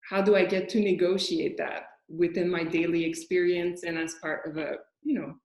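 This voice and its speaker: A woman with a somewhat low voice speaks as a curator in a relaxed discussion. She thinks aloud and stops to find her words. The sound bounces around a reverberant room. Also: normal speed. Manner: reflective, searching for words. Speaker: curator and guest critic. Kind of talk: casual panel conversation. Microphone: video call. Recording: echoey room.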